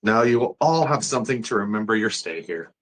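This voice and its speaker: Man playing male ward doctor, table-read drama. Lowish voice, calm authority, measured and gently firm. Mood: calm, cordial. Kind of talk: amateur screenplay table read.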